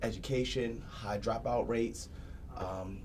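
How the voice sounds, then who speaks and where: A man's voice: lowish voice, fairly even intonation, slow pace, darkish tone, a little hiss or hum. A man, a candidate making his case earnestly in a panel discussion. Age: 20s. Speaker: council candidate guest. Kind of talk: political discussion.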